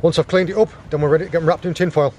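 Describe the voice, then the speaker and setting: A man's voice: on the high side, fairly even intonation, racing, a little mellow, a little hiss or hum. A man in his thirties on a rocky beach, explaining step by step how he prepares seafood while working with his hands. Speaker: guest forager demonstrating cooking. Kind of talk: casual outdoor how-to explanation.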